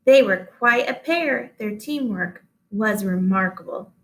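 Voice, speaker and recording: A woman reading a children's storybook aloud in an expressive storytelling style. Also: mid-range voice, measured pace.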